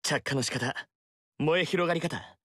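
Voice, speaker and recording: An earnest, eager young warrior who speaks formally and politely. His voice sits a bit high for a man. The game studio recording is dry and close. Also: normal speed, fairly bright tone, no room sound.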